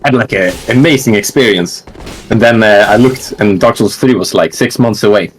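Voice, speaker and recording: A man being interviewed about the games he plays. He talks in a relaxed, rambling way, with lots of fillers. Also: fairly low pitch, moderate pace, slight background noise, poor audio. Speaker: interview guest, gamer. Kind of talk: casual online interview.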